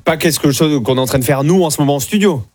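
A man's voice: on the high side, fast, darkish tone, no room sound. A man from southwest France hosting an evening radio show, bantering playfully with callers and co-hosts in a studio. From southwest France (Landes).

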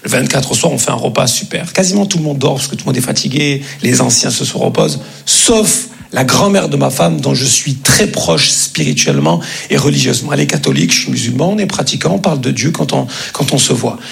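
Male stand-up comedian, very creaky voice. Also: medium pitch, a little fast, few pauses. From France. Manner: animated anecdotes with acted-out dialogue. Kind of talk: stand-up comedy storytelling to an audience.

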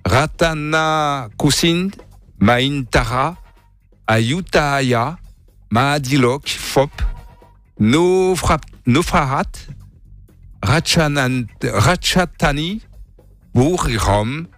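Playful radio host. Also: male voice, on the high side, lively intonation, extremely slow, long pauses, fairly bright tone, soft background music. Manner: playful, theatrical delivery. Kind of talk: morning radio banter.

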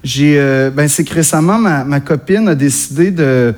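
Québécois man doing stand-up, high voice. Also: normal speed, a little mellow. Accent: Québécois French. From Quebec, Canada. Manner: self-mocking, chatty, with many hesitations. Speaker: stand-up comedian. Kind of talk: stand-up comedy set. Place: stage before a live audience. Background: audience laughter.

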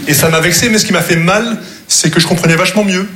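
A man's voice: high-pitched, quick pace, heavy background noise. A man from Morocco, native Arabic speaker, performing self-deprecating stand-up with posh, old-fashioned diction and a throaty, muffled resonance. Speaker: stand-up comedian. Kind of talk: conversational stand-up comedy monologue. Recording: live comedy stage, loud audience laughter. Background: laughing crowd.

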